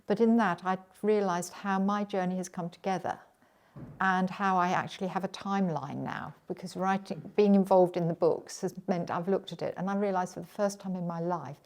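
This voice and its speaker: A middle-aged woman speaks earnestly in an interview about her own lived experience, with halting phrases and false starts. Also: lowish voice, steady tone, a little mellow. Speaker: interviewee with lived experience of dissociative identity disorder. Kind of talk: personal interview talk.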